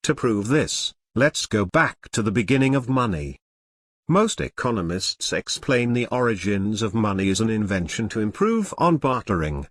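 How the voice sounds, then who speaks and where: Man narrating, earnest and varied in pitch. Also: very animated, normal speed, no room sound. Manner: earnest, didactic, formal. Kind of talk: scripted explainer narration.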